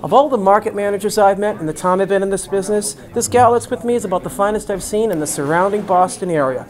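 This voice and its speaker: A man's voice: high-pitched, fast talker, a little mellow. A man, a market vendor hosting and introducing sellers in an upbeat, folksy salesman manner. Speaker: market vendor and video host. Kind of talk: promotional introductions and voice-over.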